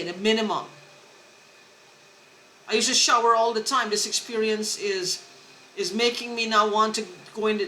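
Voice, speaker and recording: A woman talking to camera in a rambling personal monologue, halting, restarting and repeating words, earnest and insistent. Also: on the high side, pushed and bright, slight background noise. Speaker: vlogger. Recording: echoey room recording.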